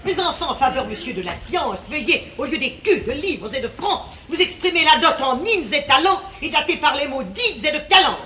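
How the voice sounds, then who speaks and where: A woman's voice: high voice, expressive, moderate pace, hardly any pauses, pushed and bright. A woman playing an affected, precious bluestocking besotted with learning in a French verse stage comedy, declaiming. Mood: scandalised. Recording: echoey, noisy vintage theatre recording.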